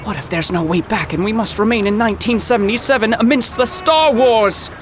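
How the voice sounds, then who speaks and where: An actor playing a pompous, easily flustered professor from the 1930s in a comic audio drama, with formal, old-fashioned diction. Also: male voice, sits high, a little fast, noisy background, lightly acted. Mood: panicked. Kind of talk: period audio drama comedy acting.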